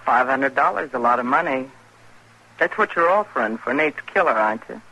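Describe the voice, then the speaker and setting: A woman's voice: a bass voice, animated, a little fast, sharp tone, full character voice. A woman playing a money-hungry saloon woman of the Old West, sly and bold, in old-time radio western style. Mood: sly, bargaining. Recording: noisy old radio broadcast recording.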